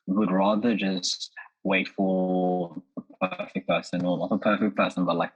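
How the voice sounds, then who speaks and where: An eighteen-year-old man, a panel guest answering in a measured, thoughtful way. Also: low voice, husky. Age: teens. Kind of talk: casual group discussion. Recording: dull muffled audio.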